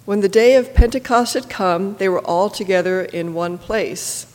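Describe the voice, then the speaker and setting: An adult with a stuffy cold, reading scripture in a church in a steady, measured way. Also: medium pitch. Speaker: scripture reader. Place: church sanctuary. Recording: echoey church from a distance.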